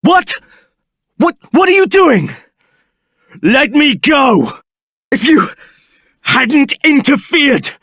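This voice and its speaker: An actor playing an arrogant, ambitious and bitter villain in a fantasy video game, speaking in a haughty, declamatory, deliberate way. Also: male voice, very high-pitched, big pitch swings, fairly bright tone, no echo, clean studio sound, exaggerated voice. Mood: angry, panicked. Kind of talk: theatrical video game character acting.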